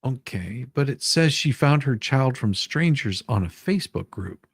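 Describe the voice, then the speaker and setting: Host for a dramatic transcript read: very lively pitch, giggly, casual between lines, close dry sound. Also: a little slow, slightly rough, no echo. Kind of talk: dramatic reading of a deposition transcript with casual banter.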